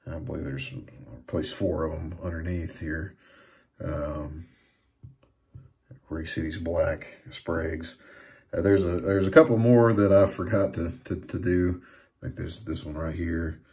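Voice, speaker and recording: A man giving a casual hands-on repair how-to, talking haltingly, repeating words and trailing off. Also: low voice, steady tone, darkish tone. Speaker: hobbyist demonstrating electronics repair. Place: indoors at a workbench.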